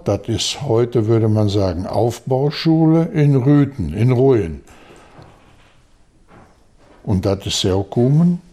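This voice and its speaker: An older man, telling a story slowly in an interview, halting with fillers and restarts. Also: mid-range voice, mellow. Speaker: local dialect enthusiast.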